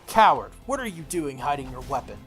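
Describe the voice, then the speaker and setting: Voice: mid-range voice, sing-song, fairly quick, fairly bright tone. A playthrough host voicing a taunting male lance-wielding warrior from a visual novel, challenging and goading. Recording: background game music.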